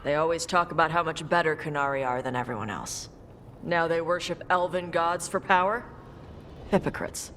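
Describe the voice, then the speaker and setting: An actress playing a blunt, terse young dragon hunter with a defiant streak in a fantasy video game. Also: female voice, low voice, lively intonation, moderate pace, fairly bright tone, a little hiss or hum. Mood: frank.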